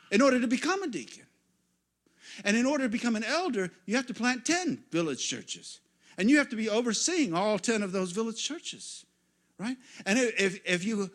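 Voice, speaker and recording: A man from the United States preaches in a church. His voice sits high and sounds bright and forceful. He tells stories, going quiet for asides and getting loud when he is excited. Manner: storytelling, swings from hushed asides to loud exclamations. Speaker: guest pastor. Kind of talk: sermon.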